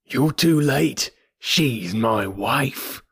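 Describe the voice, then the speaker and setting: A man's voice: medium pitch, lively intonation, unhurried, fairly bright tone, slightly rough, dry recording, studio quality, heavily acted. A man voicing a brutal young male bully in an audiobook, taunting and jeering.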